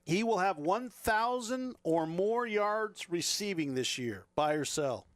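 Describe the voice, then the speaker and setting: High-voiced sports radio host. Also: male voice, expressive, no room sound, clean studio sound. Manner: brisk, running a quick question segment.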